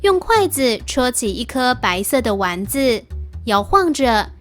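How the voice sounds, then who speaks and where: 語調起伏很大的女聲朗讀. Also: female voice, fairly high pitch, very animated, a little slow, faint music behind. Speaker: quiz question reader. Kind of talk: slow expressive reading.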